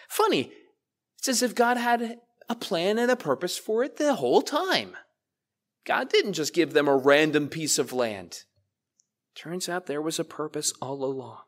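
A man's voice: on the high side, very animated, unhurried. Male pastor, conversational sermon delivery, addresses congregation directly. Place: church.

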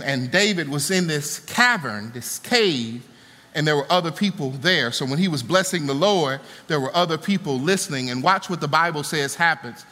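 A man preaching a sermon to a congregation, swinging from soaring lines to low, conversational asides. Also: bright, forceful tone. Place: church auditorium. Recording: long hall reverb.

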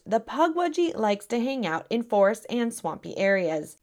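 A woman hosting a podcast, her voice a little raspy and tired, talking in a friendly, chatty and earnest way. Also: mid-range voice. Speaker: podcast host. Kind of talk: scripted podcast narration.

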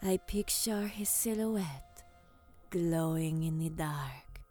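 A woman playing a grim, focused alien commander, narrating an audio drama in the first person with slow, hushed, deliberate delivery. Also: deep voice. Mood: hushed, tense focus. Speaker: narrator and lead character. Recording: music under the voice.

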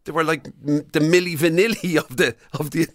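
A man's voice: on the high side, fairly even intonation, a little fast, no echo, studio quality. An Irish man co-hosting a radio show in a casual, comic back-and-forth.

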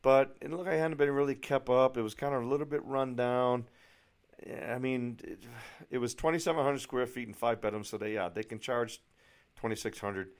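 A man's voice: medium pitch, steady tone, fairly quick. A middle-aged American man vlogging in a casual, anecdotal way, rambling and exasperated, with some mild swearing. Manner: rambling, exasperated, mildly profane.

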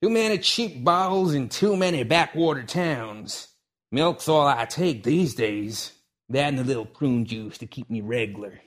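A man voicing a grumpy old retired gunfighter, speaking in a slow drawl with folksy frontier grammar. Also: medium pitch, a bit bright, voice pushed up, lightly acted. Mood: gruff drawl. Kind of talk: audiobook character voice.

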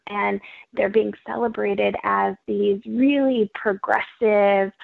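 Woman on a tinny phone line, gravelly. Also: medium pitch, no echo. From Ethiopia. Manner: earnest, measured, frequent ums. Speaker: immigrant rights organizer. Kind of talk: interview answers.

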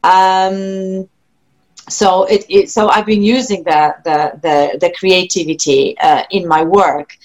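A woman with a Polish accent, a singer-songwriter speaking as an interview guest, thoughtful, with frequent restarts and soft laughs. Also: fairly low pitch, steady tone, slow. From Poland. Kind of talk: reflective interview talk.

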